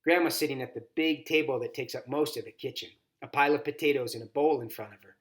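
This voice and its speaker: A man's voice: mid-range voice, fast talker. A man reading a children's novel aloud expressively, as a volunteer story reader.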